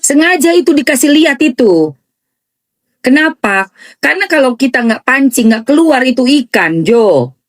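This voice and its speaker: A woman's voice: high-pitched, lively intonation, normal speed. A woman with an eastern Indonesian accent giving casual, sarcastic gossip commentary, repeating phrases for mockery.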